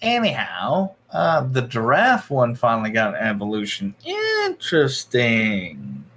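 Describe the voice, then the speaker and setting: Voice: medium pitch, wildly swooping pitch, a little slow, a bit bright, a little hiss or hum. A livestream host chatting casually, rambling along in short offhand remarks and reactions.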